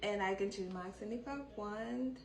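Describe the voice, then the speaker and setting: A woman's voice: mid-range voice, normal speed, echoey room. A woman with a Zambian accent talking to camera in a sassy, playful way. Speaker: vlogger. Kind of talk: casual chat to camera.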